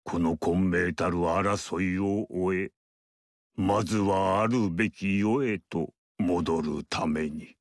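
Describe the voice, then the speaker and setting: A calm, aloof ageless sage. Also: male voice, low-pitched, dry recording, clean studio sound. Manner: measured, archaic and lofty speech. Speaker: game character. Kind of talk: game dialogue acting.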